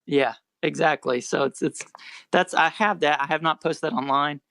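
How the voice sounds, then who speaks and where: A quiet-voiced young American man and musician, chatting in a relaxed, rambling way as a podcast guest. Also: fairly high pitch, moderate pace, vocal fry, no room sound. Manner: rambling, self-correcting, relaxed. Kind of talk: casual podcast interview.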